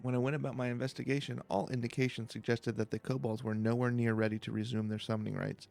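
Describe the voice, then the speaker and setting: Calm man with a slightly gravelly voice. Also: mid-range voice, fast talker. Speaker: let's play streamer. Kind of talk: reading game dialogue aloud in a calm, slow-paced playthrough.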